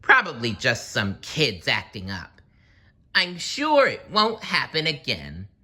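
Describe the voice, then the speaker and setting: A reader plays a witchy woman schoolteacher from a storybook, scratchy and menacing. She gives orders slowly and deliberately, with big swings in pitch and a forceful edge. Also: huge pitch swings, slightly rough, heavily acted. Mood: sly warning. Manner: commanding, drawn-out, menacing. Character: scratchy-voiced menacing witchy substitute teacher, bossy woman.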